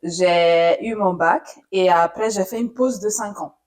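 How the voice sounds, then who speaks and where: A woman's voice: lowish voice, unhurried, a little mellow. A woman born in Italy, an Italian speaker, telling her career story as a radio journalist in an interview, thoughtful with many fillers.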